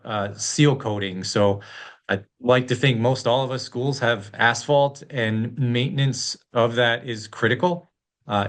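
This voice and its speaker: A man's voice: medium pitch, fairly bright tone. A man with an Upper Midwest American accent co-hosting a school facilities webinar, talking in an upbeat, easygoing way. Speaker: co-host, school district facilities staff. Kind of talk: casual webinar talk.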